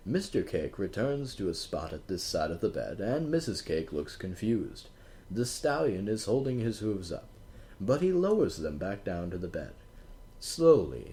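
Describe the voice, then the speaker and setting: A man with a deep voice reads an audiobook. His delivery is wry and conversational, and he speaks straight to the listener like a guide. His intonation rises and falls a lot over a faint background hiss. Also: darkish tone. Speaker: audiobook reader. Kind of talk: audiobook narration.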